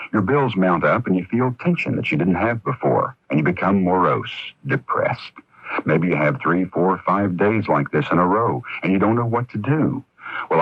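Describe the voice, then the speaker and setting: A very deep American male voice, husky and close in a dry studio, gives radio advice. He talks directly and reassuringly, like a scripted chat, on dull-sounding tape. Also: dry recording. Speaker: presenter of a radio advice spot. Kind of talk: conversational scripted radio monologue.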